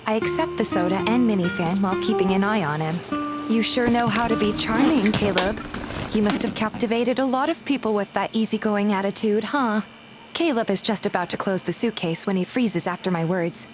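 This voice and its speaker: A woman's voice: medium pitch, a little fast, over loud music, natural voice. A woman playing a feisty, suspicious young woman who narrates her own story in a game fan dub. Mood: wary narration. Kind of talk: scripted first-person narration and game dialogue, fan dub.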